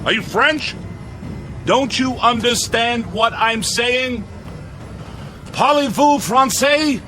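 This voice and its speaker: A man playing a gruff, impatient American air force major in a radio drama, brusque and commanding. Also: very high voice, takes it slowly, pushed and bright, faint music behind, full character voice. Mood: angry, barking.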